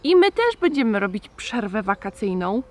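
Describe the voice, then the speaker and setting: A woman's voice: mid-range voice, sing-song, moderate pace. A woman in her thirties presenting a children's puppet show outdoors, talking simply and directly to the children. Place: outdoors in a garden.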